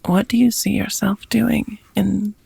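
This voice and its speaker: A woman playing an affectionate, languid female vampire lover in an ASMR romantic roleplay, speaking softly in breathy whispers with slightly formal phrasing, close to the microphone. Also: mid-range voice, flat delivery, a little slow, dry recording, full character voice. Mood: gentle, curious. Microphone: close microphone, dry.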